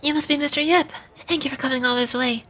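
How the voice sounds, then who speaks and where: Polite young newswoman for a fantasy game, acted by a woman, high and eager. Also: fairly even intonation, fast talker, pushed and bright, soft background music.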